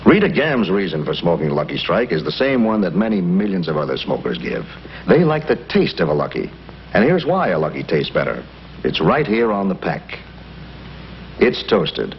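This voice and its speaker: A man's voice: sits low, very animated, normal speed. An American man reading a scripted 1950s television commercial as an announcer. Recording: muffled 1950s TV broadcast, faint noise.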